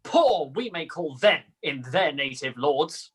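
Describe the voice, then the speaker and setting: A woman reciting the part of a proud, scornful French noble in measured Shakespearean verse. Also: sits low, animated, slightly rough, full character voice.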